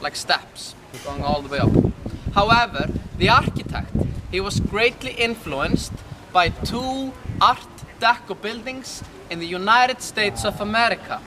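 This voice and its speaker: A man's voice: high voice, lively intonation, measured pace. Icelandic-accented male tour guide outdoors. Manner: chatty, quizzes the group with questions. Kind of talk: guided walking tour talk. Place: outdoors on a city street. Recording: loud street noise, from a distance.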